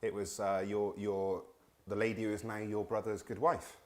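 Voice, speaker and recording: A middle-aged man with a lowish voice chats as a guest musician. He stumbles and repeats words as he talks. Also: flat delivery, normal speed, darkish tone. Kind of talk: casual chat.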